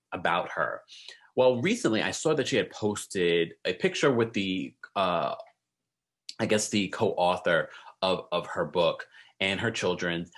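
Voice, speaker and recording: Male video host, lowish pitch, conversational delivery aimed directly at viewers. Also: fairly bright tone. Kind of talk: casual chat to viewers.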